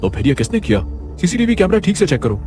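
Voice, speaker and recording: A man's voice: high voice, big pitch swings, fast talker, darkish tone, soft background music, pitched above the performer's own voice. A man voicing an angry senior doctor in a horror cartoon, demanding and accusing.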